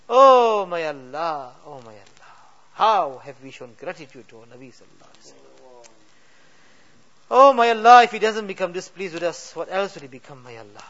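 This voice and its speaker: A man with a fairly high voice leads a tearful supplication. He pleads in rising cries and then lets each phrase fall away softly. The recording is dull and lo-fi. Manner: mixes English with Urdu and Arabic words. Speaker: imam leading a prayer. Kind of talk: emotional supplication.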